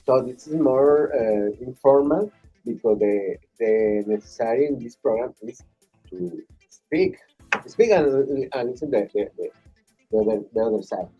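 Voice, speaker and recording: Halting, husky-voiced man host. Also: medium pitch, highs cut off, faint music behind. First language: Spanish. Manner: halting English, many repeated words. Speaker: radio host.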